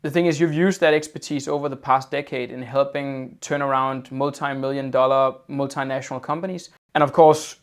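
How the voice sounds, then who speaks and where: A man's voice: mid-range voice, fairly even intonation, fairly quick, hardly any pauses. A man hosting a casual interview over a video call, often repeating his opening words before talking on fluently. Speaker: podcast host.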